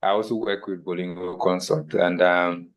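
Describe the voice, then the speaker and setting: A Ghanaian man with a Ghanaian accent, a native Twi speaker, speaks in a very low, flat voice. He is heard over a video call and introduces himself hesitantly, with many pauses and fillers. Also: highs cut off. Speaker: workshop participant.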